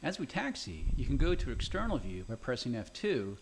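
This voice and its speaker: A man narrating a game tutorial, giving calm step-by-step instructions in a scripted read. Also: mid-range voice, a little fast, creaky, slight background noise. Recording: game audio with music behind.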